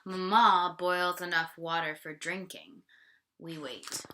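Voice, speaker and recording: A woman's voice: lowish voice, fairly even intonation, takes it slowly, bright, forceful tone, a little hiss or hum. A woman from Canada reading a picture book aloud as a teacher and explaining it simply to children.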